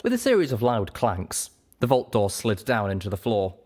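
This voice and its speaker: A man reading a story aloud as expressive, scripted first-person narration. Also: on the low side, normal speed. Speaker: audiobook reader.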